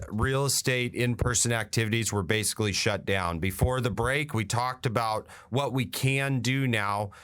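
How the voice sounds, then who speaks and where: A man's voice: mid-range voice, fairly bright tone, smooth. An American man hosting a radio show, explaining industry updates conversationally with emphatic repetition. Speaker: radio show host and real estate agent.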